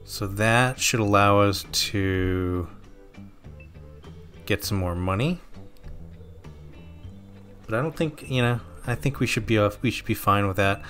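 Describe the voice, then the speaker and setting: A man with a fairly low voice talks through a game session at an easy pace, musing aloud and correcting himself as he goes. His throat is slightly sore, and soft game music plays faintly beneath him. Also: a little slow, long pauses. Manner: relaxed, thinking aloud with frequent ums and self-corrections. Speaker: gameplay commentator. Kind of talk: casual let's-play commentary.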